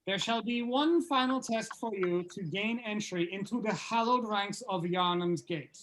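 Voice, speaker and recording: A man's voice: high voice, normal speed, fairly bright tone, slight background noise, poor audio. A man playing a pompous band leader with a mock-solemn, ceremonial manner in a comedy script read-through.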